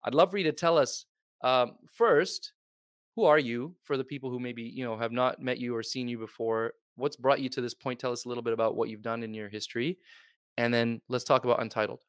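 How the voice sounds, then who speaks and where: A man's voice: medium pitch, fairly even intonation, brisk, dry recording, studio quality. A man hosting a podcast, talking in an earnest, friendly way with frequent fillers. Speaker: podcast host. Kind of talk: casual interview chat.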